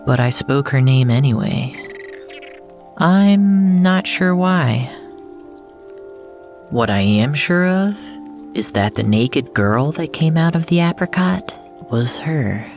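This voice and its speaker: Slow, brooding actor as a regretful male narrator. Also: on the high side, lively intonation, soft background music. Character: depressed, regretful ex-boyfriend narrating his own story. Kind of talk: scripted first-person audio drama narration.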